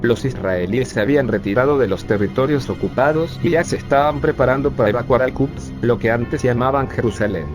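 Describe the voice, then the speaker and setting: Synthetic guy doing a bitter sarcastic ex-refugee, average pitch, music playing loud underneath. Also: fairly quick, hardly any pauses, natural voice. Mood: defiant. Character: urban planning professor recalling his youth. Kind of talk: scripted narration.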